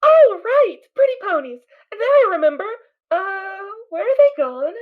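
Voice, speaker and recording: Lady doing a super high squeaky filly, bouncy and ditzy, tinny phone-ish sound. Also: sing-song, a little slow, full character voice. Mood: excited. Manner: sleepy, dreamy, easily distracted. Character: cheerful scatterbrained little filly pony.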